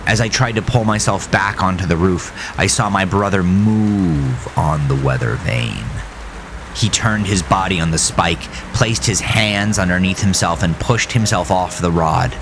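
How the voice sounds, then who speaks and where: A man playing a haunted, bitter farmer who narrates his horror story in a grave, brooding, gravelly voice. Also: low-pitched, slow, noisy background. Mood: tense, grim. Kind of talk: scripted first-person horror narration.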